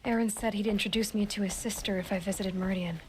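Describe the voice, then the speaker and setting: A woman's voice: mid-range voice, steady tone, fast, background noise, close to an everyday voice. An actress playing a determined young woman hunter, speaking tersely in a video game cutscene. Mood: brooding. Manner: terse, resolute, commanding.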